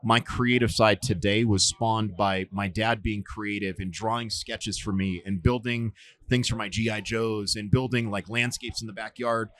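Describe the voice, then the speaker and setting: A man in his forties, a survival instructor, telling stories in a relaxed interview, with lots of fillers and restarts. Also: fairly low pitch, normal speed. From United States. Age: 40s. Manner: rambling, many fillers and restarts. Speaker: podcast guest, survival instructor. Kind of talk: casual storytelling interview.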